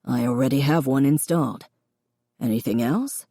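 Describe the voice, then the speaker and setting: A woman voicing a gentle, remorseful older father in an audiobook, quiet and earnest. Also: extremely low pitch, moderate pace, no echo, studio quality, voice pushed down. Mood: earnest, loving. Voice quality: reedy, quiet. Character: remorseful, loving father of grown children, older man. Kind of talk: audiobook character dialogue.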